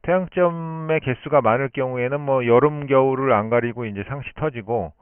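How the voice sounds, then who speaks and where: A man's voice: medium pitch, clear, resonant tone, no room sound. A man talking as an amateur radio operator, explaining things in polite, formal speech over a shortwave radio link. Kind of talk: explanatory radio contact talk. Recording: muffled narrowband shortwave radio transmission.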